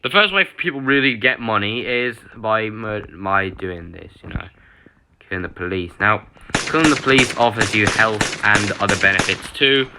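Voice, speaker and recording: A man with a half-lost, hoarse voice, rambling through a casual mobile game tutorial with lots of self-corrections. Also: fairly low pitch, moderate pace, pushed and bright, slight background noise. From United Kingdom. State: partly lost voice, throat-clearing. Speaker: gaming channel host.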